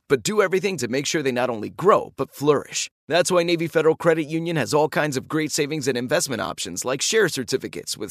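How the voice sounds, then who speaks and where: Fast-talking, dry-sounding male sponsor announcer. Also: on the high side, fairly bright tone, no room sound. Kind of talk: scripted sponsor read.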